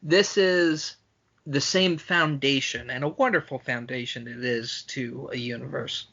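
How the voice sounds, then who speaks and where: Bright, forceful man on a podcast. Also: medium pitch, measured pace, vocal fry, dull audio. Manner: opinionated, sweary, mock-grandiose at times. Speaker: podcast co-host. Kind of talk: casual film-fan banter and ranting.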